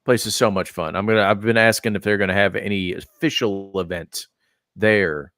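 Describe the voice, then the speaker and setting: A man's voice: on the low side, fairly even intonation, normal speed, a bit bright, dry recording, clean studio sound. A man from Texas hosting a casual chat podcast, rambling with lots of fillers and restarts. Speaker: podcast host. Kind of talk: casual chat about wrestling and gaming.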